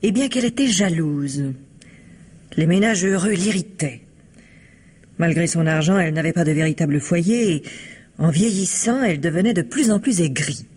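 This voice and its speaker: A married shopkeeper in an old-style French radio mystery. Her voice is husky, and she speaks with measured, evasive politeness that carries quiet spite and insinuation. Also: lowish voice, animated. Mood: bitter, disdainful.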